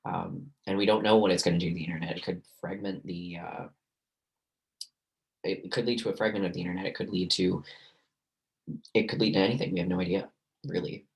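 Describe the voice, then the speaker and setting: Hoarse low-voiced man lecturing. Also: steady tone, brisk, long pauses, rough recording. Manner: loose, filler-heavy lecturing with many restarts. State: hoarse, losing his voice. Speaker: university lecturer. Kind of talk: recorded online lecture.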